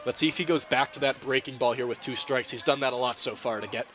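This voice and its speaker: A man's voice: medium pitch, fast, fairly bright tone. A young man giving live color commentary and analysis during a baseball game.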